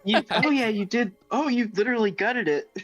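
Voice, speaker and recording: A man's voice: very high voice, fairly quick, fairly bright tone. A man playing a tabletop game, chatting casually with friends over an online call. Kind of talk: casual group game chat. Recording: dull lo-fi mic, faint music behind.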